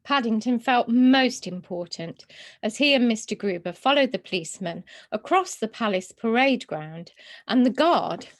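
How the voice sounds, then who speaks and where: A woman's voice: on the high side, expressive, normal speed. A woman narrating a children's storybook as a story reader.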